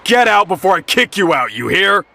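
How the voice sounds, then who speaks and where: A gruff, bright-voiced guard. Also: male voice, high voice, very animated, normal speed, soft background music, full character voice. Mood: stern. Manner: barking warnings, then deferential. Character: stern male guard, gruff and protective. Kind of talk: game cutscene voice acting.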